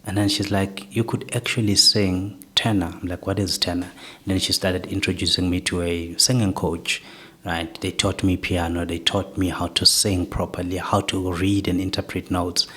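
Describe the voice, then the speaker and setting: A young man from South Africa with a soft voice, reflectively telling his life story as an interview guest, often ending points with "right?". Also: deep voice, flat delivery, moderate pace, husky.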